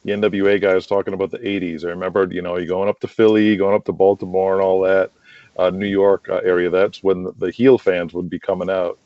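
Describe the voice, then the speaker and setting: A man being interviewed as a guest, chatting casually in an enthusiastic, rambling, halting way. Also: sits low, level tone, no echo, dull audio. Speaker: interview guest, wrestling promoter and booker.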